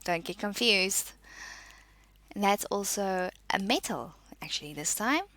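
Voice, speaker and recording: Woman, teacher, unhurried, animated intonation, instructive, deliberate short statements, close dry sound. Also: mid-range voice, no room sound. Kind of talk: spoken lesson, reading out and explaining.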